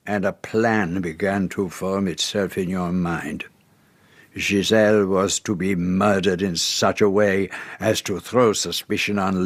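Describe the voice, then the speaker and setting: An actor playing a shrewd, assured detective in a radio drama, with a Belgian French accent and deliberate, formal, precise phrasing. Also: male voice, fairly low pitch, no room sound. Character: shrewd, assured male detective laying out a murder.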